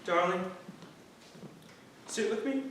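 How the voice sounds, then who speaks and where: A man acting a devoted, gently playful husband from early modern England in a staged play reading, earnest and tender in delivery. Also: high-pitched, slow pace. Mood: gentle, coaxing. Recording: roomy theatre, distant mic, faint noise.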